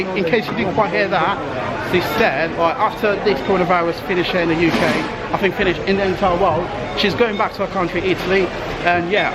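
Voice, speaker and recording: A man's voice: sits high, very noisy. A man vlogging casually and exclaiming informally as he walks around a supermarket. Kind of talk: casual walk-around vlog narration. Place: inside a large supermarket.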